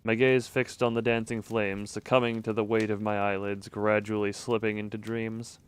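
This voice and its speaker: A man's voice: lowish voice, little pitch movement, moderate pace, slight background noise. A man streaming a visual novel, reading the narration aloud and breaking off for casual asides and small laughs. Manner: reads narration evenly, breaks off for chuckling asides.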